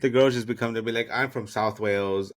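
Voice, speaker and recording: Sassy, lower-voiced man in casual chat. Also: fairly even intonation, brisk. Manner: slangy, sassy, swears freely. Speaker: podcast co-host. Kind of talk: casual chat, reaction and commentary.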